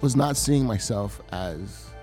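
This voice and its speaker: A man giving an earnest, confessional sermon testimony, often halting and repeating words as he speaks. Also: fairly low pitch, expressive, warm tone, faint music behind.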